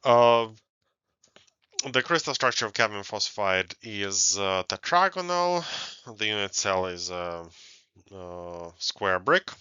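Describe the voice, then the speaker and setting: Halting low-voiced man lecturing, dull audio. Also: animated, sharp tone, clean tone, dry recording. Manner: halting, hesitant delivery with frequent pauses and hums. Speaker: university researcher presenting. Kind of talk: scientific conference lecture over slides.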